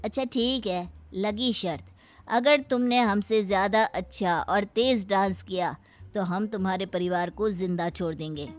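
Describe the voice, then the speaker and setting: A woman's voice: mid-range voice, measured pace, natural voice. A woman voicing a boastful forest tribesman in a cartoon story, taunting and challenging with menace. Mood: threatening.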